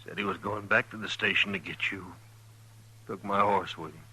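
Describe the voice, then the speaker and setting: A man playing a bitter, resigned, wounded gunman in an old radio western, with halting rustic speech and labored, husky voice. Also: fairly low pitch, steady tone, bright, forceful tone, exaggerated voice, rough recording. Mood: pained. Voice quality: husky, strained.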